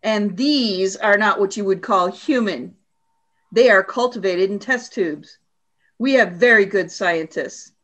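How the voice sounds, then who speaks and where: A woman's voice: medium pitch, measured pace, a bit bright. A woman playing a cold, imperious alien warrior commander in a radio play, speaking in a stern, formal, commanding way. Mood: cold, severe.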